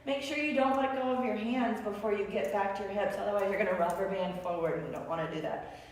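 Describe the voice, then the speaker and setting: A raspy-voiced woman leads a beginner yoga class with playful, encouraging cues, sounding tired after many classes. Her voice comes from well away from the mic. It rings through a very echoey room with a long, trailing reverb. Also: mid-range voice, fast, distant mic, a little hiss or hum. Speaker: yoga instructor. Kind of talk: guided yoga class instruction.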